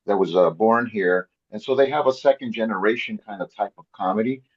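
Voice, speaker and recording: Husky man, dull lo-fi sound. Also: on the low side, a little fast. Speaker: veteran comedian on a panel. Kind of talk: casual panel discussion.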